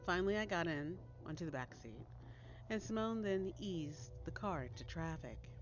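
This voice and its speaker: American female storyteller, low and lively, dramatic audiobook read over prominent music. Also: moderate pace. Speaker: author reading her own short story. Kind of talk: expressive audiobook story narration. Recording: dull lo-fi audio under loud music.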